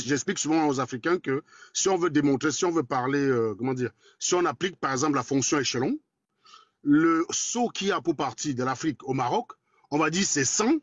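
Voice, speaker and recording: A man's voice: fairly high pitch, no echo, muffled recording. A man in his forties with a Cameroonian accent, speaking bluntly and crudely in a casual live chat. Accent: Cameroonian French. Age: 40s. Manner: blunt, crude and combative, quick and talkative. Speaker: guest in a live debate.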